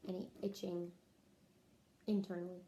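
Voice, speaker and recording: A woman taking a health history, working briskly through a checklist of short clinical questions. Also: lowish voice, little pitch movement, dark tone, slight background noise. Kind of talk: clinical question-and-answer interview.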